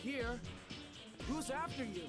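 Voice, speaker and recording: Game casting: scolding commander, fairly high voice, very slow deliberate delivery, loud music beneath. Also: female voice, animated.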